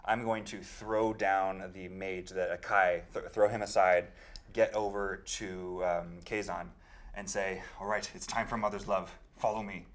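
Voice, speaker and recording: Low-voiced man playing a tabletop game. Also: steady tone, a little slow. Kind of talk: casual improvised description of play.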